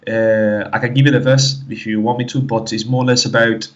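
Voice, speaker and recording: A Spanish-accented man with a somewhat low voice talks as an interview guest. He speaks haltingly, restarting phrases and leaning on "like, you know". The audio is dull and lo-fi. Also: little pitch movement. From Canary Islands, Spain. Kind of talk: casual interview talk.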